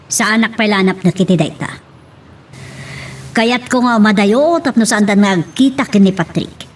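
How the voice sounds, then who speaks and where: A woman's voice: medium pitch, moderate pace, close to an everyday voice. A woman playing a haughty, scornful accuser who scolds with contempt in an audio romance drama. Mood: bitter. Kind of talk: Ilocano radio-style romance drama acting. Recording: distant mic with faint noise.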